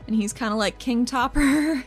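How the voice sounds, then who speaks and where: A woman's voice: fairly high pitch, moderate pace, soft background music. A woman chatting casually and improvising as a player in a tabletop game, in an informal manner. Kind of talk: casual game chat and improvised role-play.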